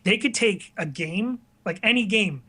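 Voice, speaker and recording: Male video creator as interviewee, high-pitched, informal, modest and self-deprecating. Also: animated, measured pace, bright, forceful tone, husky. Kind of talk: casual chat.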